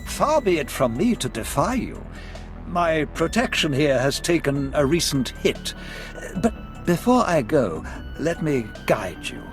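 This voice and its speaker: A theatrical Sith lord from a video game, higher-voiced and animated, with swooping pitch over music. He is courtly, eloquent and scheming, every line formal. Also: a little slow. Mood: conspiratorial. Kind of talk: video game cutscene acting.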